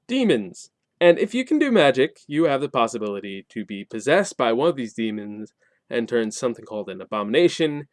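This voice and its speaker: A man with a mid-pitched voice talks through a game review in a casual, colloquial way. He is wry, slipping in sarcastic asides as he explains things. The sound is dry, with very little room echo. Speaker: tabletop game reviewer and host.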